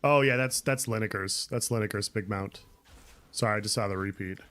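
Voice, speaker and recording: A man's voice: lowish voice, fairly quick, a bit bright. Chatty man streaming a game. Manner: chatty, reads and answers viewer comments. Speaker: livestreamer. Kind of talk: casual gameplay stream chat.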